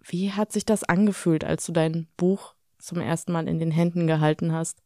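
A woman hosts an interview, asking her questions in a casual way and backing up her guest with frequent attentive murmurs. Her voice sits low for a woman. The recording is dry and close. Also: fairly even intonation, normal speed, warm tone, dry recording. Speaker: podcast host, interviewer.

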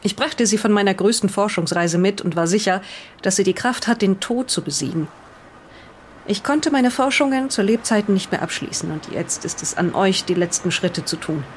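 A woman voicing a proud professor's grave letter to heirs, read solemnly and deliberately in an audio drama. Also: medium pitch, slight background noise, lightly acted. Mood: solemn, grand.

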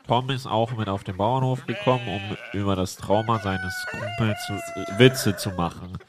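A man's voice: on the low side, normal speed, noisy background. A man performing improvised comedy banter and narration.

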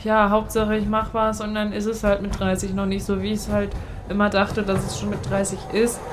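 A woman's voice: mid-range voice, completely flat delivery, brisk, background noise. A woman in her late twenties co-hosting a casual podcast, talking in a chatty, reflective way and giggling often. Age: late 20s. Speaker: podcast co-host. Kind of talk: casual chat.

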